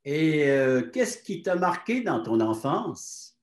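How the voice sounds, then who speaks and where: A man's voice: on the high side, sing-song, takes it slowly. A man with a Quebec French accent hosting an interview show as its presenter. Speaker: host of a testimony show. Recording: roomy echo.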